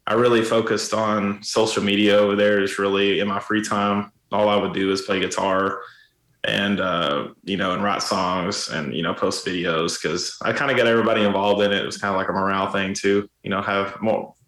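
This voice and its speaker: A raspy man with a somewhat low voice chats casually as a podcast guest. He rambles along in a relaxed way, full of fillers. His voice rings with a roomy echo. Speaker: musician guest.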